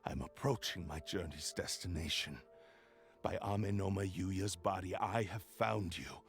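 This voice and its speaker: An actor playing an arrogant, obsessive cursed sword spirit in a video game, haughty and menacing, with gravelly theatrical delivery. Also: male voice, low voice, a little slow. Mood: callous, scornful. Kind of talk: dramatic video game voice acting.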